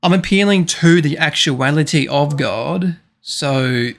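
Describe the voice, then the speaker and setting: A man makes his case over a voice call, repeating himself insistently and sometimes stumbling over a word. He talks very slowly, in a fairly high voice for a man. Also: fairly bright tone. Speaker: debater. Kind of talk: casual online debate.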